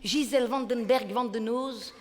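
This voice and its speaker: An energetic woman in her thirties, from Belgium, performing stand-up on a large stage. She talks in a punchy way and slips into the voices of her relatives. Her voice is high, and the room adds a long reverb. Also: normal speed. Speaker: stand-up comedian. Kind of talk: stand-up comedy.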